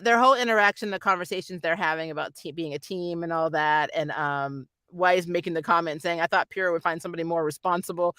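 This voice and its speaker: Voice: mid-range voice, a bit bright, dry recording. A reviewer chatting casually and animatedly about a show, with a giggly manner, often acting out what characters say. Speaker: reviewer and channel host. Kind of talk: casual fan review commentary.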